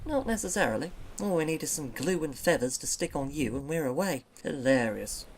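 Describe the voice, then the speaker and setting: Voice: mid-range voice, expressive, fairly quick, poor audio. A performer voicing a young female seal with a British accent, wry, sarcastic and self-important. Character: young female seal, pompous would-be intellectual. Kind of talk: cartoon narration.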